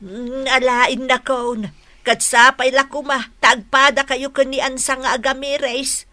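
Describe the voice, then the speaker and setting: An actress playing a kindly elder neighbor in an Ilocano radio drama, earnestly coaxing and admonishing people to reconcile. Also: female voice, high-pitched, little pitch movement, unhurried, pushed and bright, no echo.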